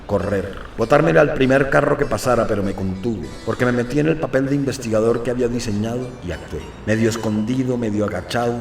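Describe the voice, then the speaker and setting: A man's voice: medium pitch, creaky, close to an everyday voice. An actor playing a restless, obstinate priest who narrates his own story in dramatic, confessional phrasing for an audio novel. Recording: roomy, distant narration over faint music.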